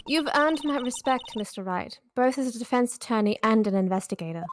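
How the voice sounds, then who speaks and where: A woman reading the lines of a composed, guarded female chief prosecutor from a game, in a measured, formal way. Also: a little high, animated, a little hiss or hum, lightly acted. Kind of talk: reading game dialogue aloud on stream.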